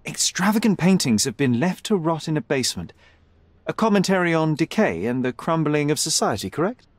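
A man's voice: on the high side, sing-song, brisk, no echo. A man playing a young, brilliant detective in a video game, cool, rational and precise, with a slightly haughty manner. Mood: assertive, dismissive. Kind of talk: video game cutscene acting.

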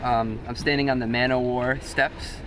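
A man's voice: medium pitch, little pitch movement, normal speed, heavy background noise. A young man giving casual vlog updates outdoors on a waterfront dock, picked up by a camera a few feet away. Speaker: traveling kite photographer.

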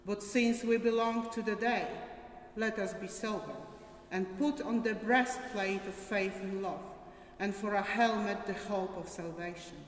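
A woman's voice: mid-range voice, steady tone, unhurried, slight background noise. An older woman giving a formal scripture reading at a church service. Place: large stone church, at a lectern. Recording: echoey church, distant mic.

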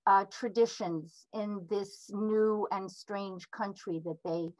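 A woman's voice: mid-range voice, steady tone, a little mellow, no room sound. A woman in her sixties whose first language is Ukrainian, giving a lecture over a video call from her home and often restarting her sentences. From Philadelphia, USA. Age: 60s. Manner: hesitant, restarts often, slow and careful. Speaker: artist lecturer. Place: home room with a wood stove. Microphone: laptop webcam mic on a video call. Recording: dull, dry video call audio.

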